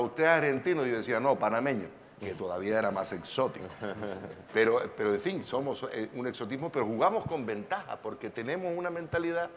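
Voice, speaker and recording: A man with a Canarian Spanish accent speaks as a panelist, emphatic and anecdotal, at an average pitch for a man. His voice carries the long reverb of a big hall, with a faint hum underneath. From Canary Islands. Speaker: panelist, novelist. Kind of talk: panel discussion. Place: large hall at a public panel.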